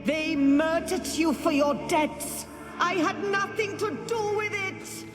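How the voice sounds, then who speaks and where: A woman's voice: very high-pitched, takes it slowly, over music. An actress playing a pious, sanctimonious dead noblewoman in a video game, haughty, formal and theatrical. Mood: indignant, scornful. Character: pious, sanctimonious nagging noblewoman ghost, long-suffering wife. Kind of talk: video game dialogue acting. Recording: long hall reverb.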